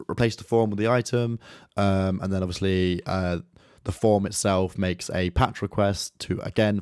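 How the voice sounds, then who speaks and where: A man with a deep voice, a little croaky from a sore throat, explains his project layout in an easygoing, rambling way. The sound is dry and close, with no room around it. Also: darkish tone, no echo. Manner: loose and conversational, lots of ums and "kind of". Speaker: software developer walking through code. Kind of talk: casual screen-share code walkthrough.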